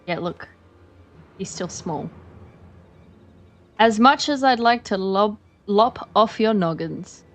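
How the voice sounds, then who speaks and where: A woman's voice: mid-range voice, lively intonation, slow, pauses often, a little hiss or hum. A young Australian woman chatting casually while streaming a game, rambling with lots of fillers.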